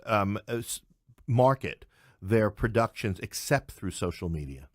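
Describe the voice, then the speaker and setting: Man, middle-aged, lowish pitch, dry studio sound, opinionated, blunt, casual interview guest. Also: animated, unhurried, dry recording. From New York City. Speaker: interview guest, playwright and English professor. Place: podcast studio, in person.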